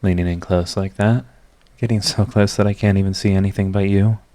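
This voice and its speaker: A man's voice: deep voice, steady tone, a little fast, dark tone, no echo, lightly acted. A man playing a proud villain who is secretly devoted to his rival, in an intimate, emotional audio roleplay. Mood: flustered, guarded. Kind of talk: dramatic ASMR audio roleplay.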